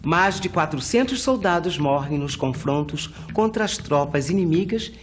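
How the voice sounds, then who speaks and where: A man's voice: fairly high pitch, expressive, moderate pace, over music. A man with a Brazilian accent narrates scripted historical events in short, declarative, newsreel-like sentences.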